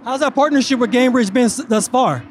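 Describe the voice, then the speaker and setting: High-voiced, upbeat male host. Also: brisk, noisy background. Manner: upbeat, hype-driven. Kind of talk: energetic interview hosting. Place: outdoors at a loud racetrack.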